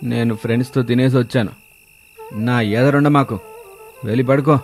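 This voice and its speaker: A man's voice: mid-range voice, steady tone, moderate pace, faint music behind, lightly acted. A man voicing a drunken, defensive husband in a moral story, with blunt colloquial speech. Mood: dismissive. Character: gruff drunken husband, defensive, later remorseful. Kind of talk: Telugu moral story dialogue.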